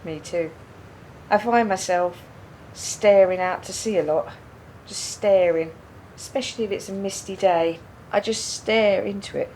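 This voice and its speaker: An actress playing a quiet, pensive woman burdened by doubt in a radio play, speaking in a reflective, halting way. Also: medium pitch, slight background noise, lightly acted, poor audio. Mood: pensive, sad. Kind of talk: radio play dialogue, naturalistic.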